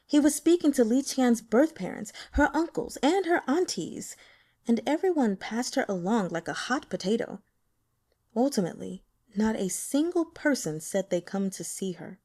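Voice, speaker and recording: Female audiobook narrator, mid-range voice, scripted fiction read with expressive, dramatic phrasing. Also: mid-range voice. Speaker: audiobook reader.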